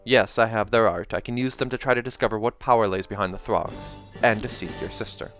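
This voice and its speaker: A man voicing a gruff, suspicious dwarf adventurer who talks bluntly in a fantasy story. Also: fairly low pitch, a little fast, a bit bright, soft background music, lightly acted. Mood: earnest.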